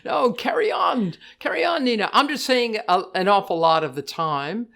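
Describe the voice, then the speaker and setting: An older woman with a deep voice, a guest in a casual interview, talking fast in bursts, often restarting her sentences and laughing easily. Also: expressive, vocal fry, fairly bright tone. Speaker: guest, tennis broadcaster.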